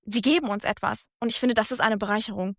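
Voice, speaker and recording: A woman's voice: mid-range voice, quick pace, dry recording, studio quality. A woman born and raised in Germany, speaking earnestly and reflectively in a casual conversation. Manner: earnest, reflective, speaks in long thoughtful runs. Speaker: guest.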